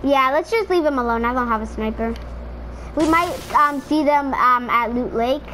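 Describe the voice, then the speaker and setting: A woman's voice: sits high, moderate pace, pushed and bright. A woman chatting casually while playing an online shooter in a squad, rambling with lots of fillers. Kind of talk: casual gaming chat. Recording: noisy game audio behind.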